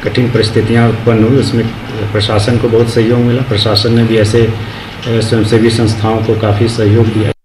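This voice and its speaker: A man with an Indian accent speaks low and very flat. His interview statement is halting, recorded in a roomy, echoey space with clear background noise.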